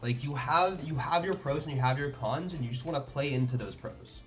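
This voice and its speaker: A man's voice: on the high side, fairly quick, faint music behind. Rambling young man thinking aloud. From Denmark. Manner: thinks aloud, rambling with many fillers and restarts. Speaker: card game streamer. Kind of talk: casual live-stream commentary.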